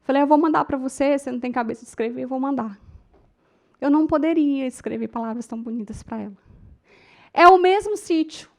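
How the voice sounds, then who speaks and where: Brief: Brazilian woman, middle-aged, high voice, public speaking teacher, emotive storyteller who talks straight to the room. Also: a little fast. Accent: Brazilian Portuguese. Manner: emotive storytelling, addresses the audience as "gente". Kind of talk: lecture to a class.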